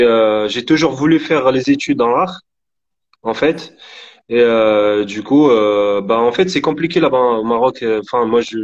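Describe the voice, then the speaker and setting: A man in his thirties from Morocco, a painter answering interview questions in a halting way, with many pauses and restarts. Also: mid-range voice. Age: 30s. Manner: hesitant, many fillers and restarts. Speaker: guest painter. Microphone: remote call on live stream. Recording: dull lo-fi stream audio.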